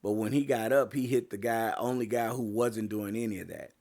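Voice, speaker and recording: Podcast host, male, slightly lower voice, hoarse, loose rambling sports talk. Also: steady tone, a little fast, a little mellow. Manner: rambling, many fillers and restarts. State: voice getting hoarse.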